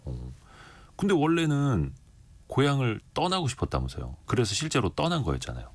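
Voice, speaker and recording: A man's voice: mid-range voice, huge pitch swings, no echo. A man hosting a radio interview show, reading market figures and chatting with a guest in a conversational manner. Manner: conversational, quick back-and-forth. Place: radio studio.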